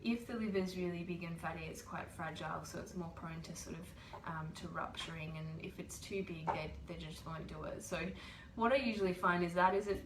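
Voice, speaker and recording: Low-voiced woman with a cold, echoey room. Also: a little fast, background noise. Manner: chatty, explains patiently with many ums. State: has a cold. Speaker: host. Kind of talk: casual livestream explainer. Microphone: webcam or phone livestream.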